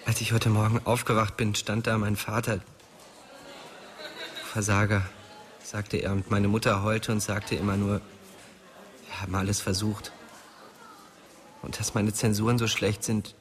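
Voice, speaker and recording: A man's voice: lowish voice, level tone, a little slow, pauses often, lightly acted. An actor playing a troubled, sullen young man with a crude manner in a radio drama. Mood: downcast.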